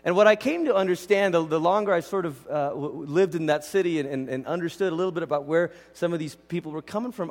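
A man from Malaysia preaching as a pastor in a conversational, informal teaching style, often voicing quoted asides. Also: high-pitched. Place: large indoor church hall. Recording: reverberant hall sound.

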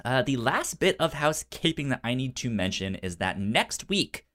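A man co-hosting a casual film review podcast, speaking informally and haltingly, with a frequent stutter that repeats sounds and syllables. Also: mid-range voice, sing-song, fairly bright tone. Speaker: podcast co-host.

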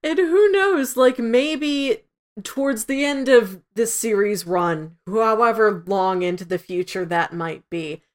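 Woman on a review podcast, just chatting, normal pitch, acts out little quotes while explaining. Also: slow. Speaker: podcast co-host reviewing an audio drama. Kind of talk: casual discussion chat.

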